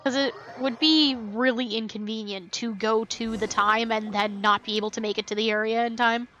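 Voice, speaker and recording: A woman's voice: on the high side, steady tone, normal speed, a bit bright, low-quality recording. A woman giving casual live commentary while streaming a game, with playful, wry asides. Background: faint game music.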